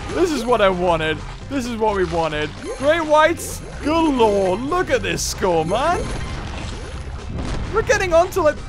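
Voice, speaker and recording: A young man giving excitable gameplay commentary, often shouting and laughing. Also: high-pitched. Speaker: gaming youtuber.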